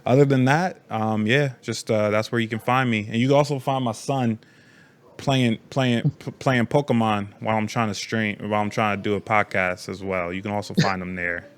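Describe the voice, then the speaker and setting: A man co-hosting a podcast in casual sports chat, halting and laid-back in the way he talks. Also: lowish voice, steady tone, clean tone. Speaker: podcast co-host.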